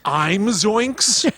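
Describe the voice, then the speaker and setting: A man's voice: sits high, fairly bright tone, slightly rough, no echo. A man co-hosting a podcast in casual, jokey chat, riffing on silly ideas. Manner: jokey, riffs on silly names. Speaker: podcast co-host. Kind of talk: casual chat about movies and comedy.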